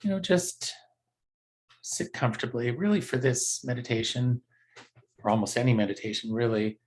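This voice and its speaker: A man teaching a guided meditation in a gentle, unhurried, conversational way with halting pauses. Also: medium pitch, animated.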